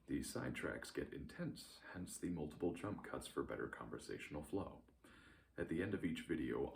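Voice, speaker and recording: A man voicing a mock-solemn bureau announcer who reads a formal recap in a stiff, deadpan way for an ASMR video. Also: very deep voice, creaky, a little hiss or hum, full character voice. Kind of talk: scripted formal recap narration.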